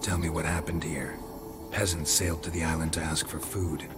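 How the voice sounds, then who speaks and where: An actor playing a gruff, stoic monster hunter in a video game, asking blunt questions in a terse, deadpan way. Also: male voice, very deep voice, normal speed. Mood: calm, probing. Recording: loud music under the voice. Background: game soundtrack music.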